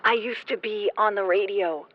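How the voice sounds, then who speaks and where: A woman's voice: medium pitch, normal speed, dry recording, close to an everyday voice. A woman playing a breezy, self-satisfied suburban former radio host in a comedic radio drama. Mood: curious. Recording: dull, noisy ham radio sound.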